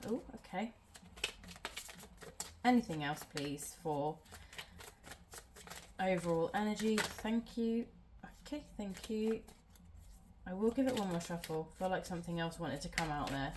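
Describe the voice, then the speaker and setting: A woman's voice: lowish voice, lively intonation, measured pace, pauses often, background noise. A woman doing a casual tarot reading at home, sounding nasal from a cold and rambling with many ums. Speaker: tarot reader.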